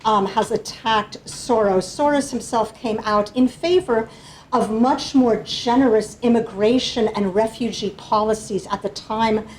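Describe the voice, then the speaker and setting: A woman delivers an academic lecture as a panelist, walking her listeners through slides. Her voice sits a little high, and she explains patiently, pausing often to check that the audience is following. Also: darkish tone, slight background noise, low-quality recording.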